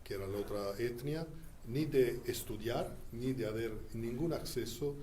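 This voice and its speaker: A man who learned Spanish as a second language recounts his years as a Church diplomat in an interview, speaking deliberately with frequent pauses. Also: medium pitch, warm tone, a little hiss or hum. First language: not Spanish.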